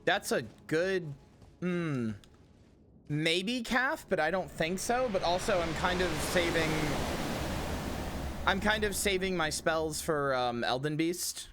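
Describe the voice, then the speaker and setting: A man streaming a video game, talking casually with a hoarse, scratchy throat that he keeps clearing. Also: a little high, animated, slow pace. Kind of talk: casual gameplay commentary.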